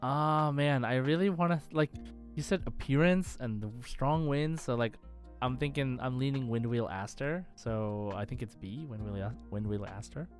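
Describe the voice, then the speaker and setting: A man co-hosting a casual gaming quiz podcast, chatting in a halting way and repeating words as he thinks aloud. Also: mid-range voice, soft background music. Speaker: podcast co-host and quiz contestant.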